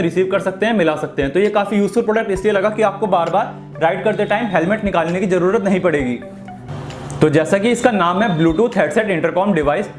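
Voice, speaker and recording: Fast, high-pitched man, echoey room. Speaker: product reviewer. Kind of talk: informal gadget review narration. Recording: echoey room, faint music behind.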